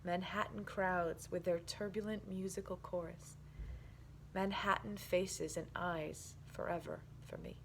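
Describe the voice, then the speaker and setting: A woman's voice: fairly low pitch, steady tone, unhurried, a bit bright, slight background noise, low-quality recording. A woman giving a declamatory, emphatic dramatic reading of poetry.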